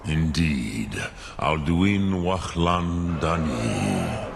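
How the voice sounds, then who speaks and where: A man playing an ancient, wise and melancholy dragon in a fantasy video game, with a gravelly voice and solemn, deliberate, archaic speech full of pauses. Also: very deep voice, background noise, heavily acted. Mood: mournful, solemn.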